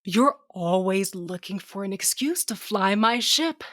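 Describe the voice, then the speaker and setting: A woman's voice: medium pitch, lively intonation, unhurried, fairly bright tone, no echo, studio quality. A woman playing a blunt, sardonic female starship captain who narrates her own science fiction audio drama in slangy language. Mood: blunt, determined. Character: tough, sardonic, foul-mouthed female ship captain with a cybernetic eye. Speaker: narrator and lead character. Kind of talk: first-person audio drama narration and dialogue.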